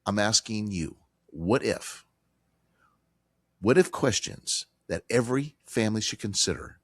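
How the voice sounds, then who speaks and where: Male talk radio host, hoarse with a cold, low voice, dry studio sound, emphatic conversational delivery. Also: no echo. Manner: emphatic, repetitive, conversational with fillers. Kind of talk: financial talk radio monologue.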